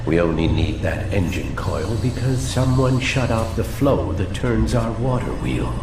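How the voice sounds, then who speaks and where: An actor playing a cunning, mock-polite gang go-between in a video game, with a creaky voice and oily manner. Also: male voice, fairly low pitch. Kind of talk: video game cutscene acting. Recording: echoey room under loud music.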